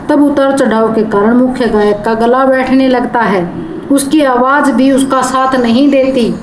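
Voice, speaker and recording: A woman teacher explaining a poem line by line, stopping to rephrase and gloss words. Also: high-pitched. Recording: echoey room with background noise.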